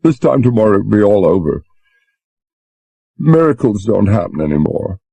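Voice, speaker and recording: A man's voice: mid-range voice, lively intonation, a little slow, creaky, warm tone, slightly rough, no room sound, voice pushed up, exaggerated voice. A man voicing a frightened young woman who pleads through tears, breathless and near sobbing. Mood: tearful.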